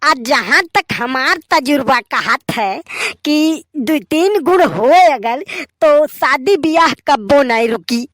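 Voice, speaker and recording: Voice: high voice, lively intonation, slow, fairly bright tone, no echo, studio quality, heavily acted. A performer voicing an opinionated, grumbling young village bachelor in a comedy cartoon, with an Awadhi accent and a complaining, lecturing delivery. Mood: preachy, cocky.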